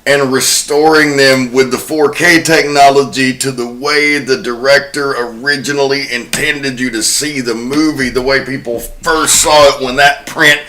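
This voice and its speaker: A man's voice: medium pitch, steady tone, unhurried, hardly any pauses, sharp tone. A man hosting a horror film podcast, speaking in a wry, sardonic way. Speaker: podcast host. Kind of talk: horror film recap and casual discussion.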